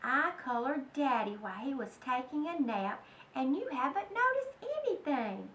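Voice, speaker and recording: A woman's voice: sits high, big pitch swings, normal speed, a bit bright, full character voice. A woman voicing a mischievous, self-assured young girl from a storybook, matter-of-fact and insistent. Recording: echoey room, distant mic, faint noise.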